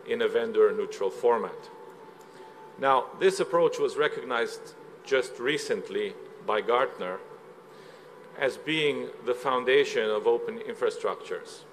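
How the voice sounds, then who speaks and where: A man from Slovenia presents at a conference in a mid-range voice, explaining each point steadily and pausing on filler sounds. Faint room ambience sits behind him. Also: medium pitch, a little hiss or hum, low-quality recording. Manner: measured, explanatory, with frequent "uh" fillers. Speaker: conference speaker. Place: conference hall.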